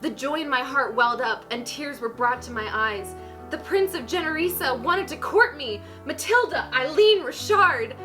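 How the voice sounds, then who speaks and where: A woman's voice: high-pitched, expressive, moderate pace, music in the background. A woman reading as a shy, swooning young romance novel heroine in an earnest, melodramatic way. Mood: breathless, swooning. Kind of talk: mock-dramatic reading of a teen romance novel.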